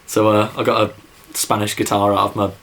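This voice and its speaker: A man's voice: on the low side, monotone, brisk, a bit bright. A young man from England, near Birmingham, with a mild Midlands accent, casually answering viewer questions in a rambling way full of fillers. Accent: English Midlands. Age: young adult. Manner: rambling, lots of fillers and "like", says so himself. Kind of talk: casual vlog Q&A.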